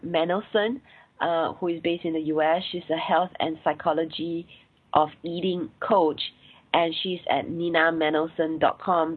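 Singaporean woman, podcast host, husky voice on a thin phone-like line, chatty and full of ums. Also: deep voice. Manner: chatty, many "um" and "uh" fillers. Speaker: podcast host and sex coach. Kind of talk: solo podcast episode recap.

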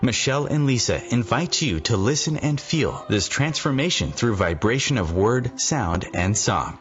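A man announcing a talk show intro with smooth, measured delivery. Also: mid-range voice, animated. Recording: dull recording with music.

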